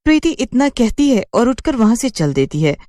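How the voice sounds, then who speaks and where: Expressive woman narrating a story. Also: fairly high pitch, moderate pace, dry recording, studio quality. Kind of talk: scripted cartoon story narration.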